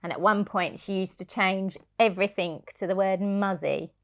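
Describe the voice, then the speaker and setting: A woman reading a children's storybook aloud as a childminder, in an expressive, sing-song way. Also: medium pitch, normal speed.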